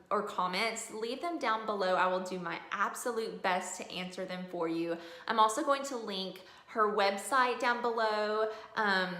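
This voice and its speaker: A woman's voice: mid-range voice, pushed and bright. A middle-aged woman giving a casual, explanatory video review as a homeschooling mom. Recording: roomy indoor echo.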